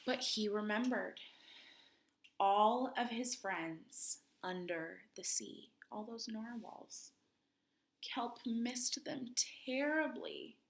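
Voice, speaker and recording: A woman reading a children's picture book aloud expressively, with dramatic pauses, chatty asides and questions for her young listeners. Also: fairly high pitch, animated, creaky. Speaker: children's read-aloud host.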